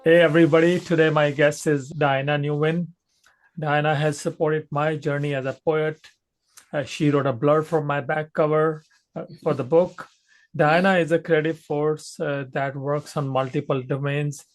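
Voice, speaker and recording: A man hosting a podcast, who asks questions in a measured way with many fillers. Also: on the high side, steady tone. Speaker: podcast host. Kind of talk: podcast interviewing.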